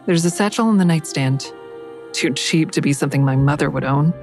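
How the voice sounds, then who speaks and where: A woman's voice: low voice, fairly quick, darkish tone, faint music behind. A woman playing a guarded, blunt protagonist in an audio drama, narrating and speaking with a dry, terse manner. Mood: wary, sardonic. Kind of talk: first-person audio drama narration and dialogue.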